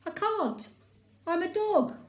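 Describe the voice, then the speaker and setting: A woman voicing an eager, bossy, skinny little male dog in a children's story, with an excitable, exclamatory manner. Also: very high-pitched, takes it slowly, voice pushed up, exaggerated voice. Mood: unhelpful.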